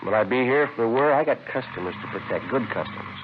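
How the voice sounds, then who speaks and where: Terse police detective for vintage radio crime drama, male actor: rapid, flat, businesslike, muffled archival sound. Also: mid-range voice, background noise, lightly acted. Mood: guarded, tough. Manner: flat, clipped, matter-of-fact. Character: terse, cool police detective narrating and posing undercover. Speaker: lead actor and narrator. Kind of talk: 1950s radio crime drama acting, clipped report-like narration. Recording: dull vintage radio recording, music behind.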